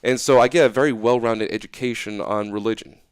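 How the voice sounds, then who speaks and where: A young man talking casually as an interview guest, animated and loose, often checking in with "right?". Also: mid-range voice, a little fast, clean tone.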